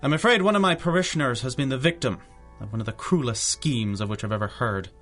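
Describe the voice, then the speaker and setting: Actor as an earnest, concerned parish priest. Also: male voice, medium pitch, quick pace, fairly bright tone, faint music behind, lightly acted. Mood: grave concern. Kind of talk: radio drama acting.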